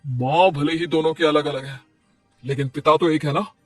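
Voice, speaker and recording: A man's voice: a little high, fairly even intonation, moderate pace, no room sound, distant mic, soft background music, lightly acted. A man voicing a devoted young father, an earnest and stubborn husband in a cartoon moral story. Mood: stern, reproachful.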